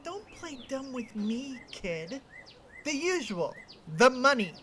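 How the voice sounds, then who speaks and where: A woman's voice: high-pitched, very animated, slow, a bit bright, slight background noise, full character voice. A woman playing a menacing, mocking school bully in a fan visual novel, taunting and domineering. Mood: mocking, threatening.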